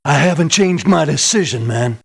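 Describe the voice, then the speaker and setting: An actor playing a laid-back, cash-hungry rocker mercenary in a video game, raspy and gravelly, with a slangy drawl. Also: male voice, on the high side, animated, moderate pace, exaggerated voice. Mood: easygoing swagger. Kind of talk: video game character voice acting.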